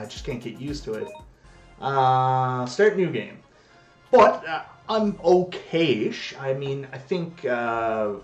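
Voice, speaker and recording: A Canadian man in his forties with a light accent, casually chatting on a live stream, greeting viewers and joking about his own skills. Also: medium pitch. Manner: chatty, greets viewers by name, self-deprecating.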